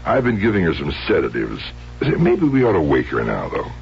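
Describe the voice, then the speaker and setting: A genial middle-aged doctor father talks in a very deep, folksy, halting voice. It is an old radio drama recording, dull and noisy with hum. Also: huge pitch swings, creaky, full character voice. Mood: genial, preoccupied. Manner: folksy, hesitant, tags sentences with huh and hmm. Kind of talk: 1970s radio drama acting.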